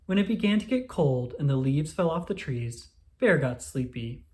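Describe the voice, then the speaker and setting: Animated children's storybook narrator. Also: medium pitch, moderate pace.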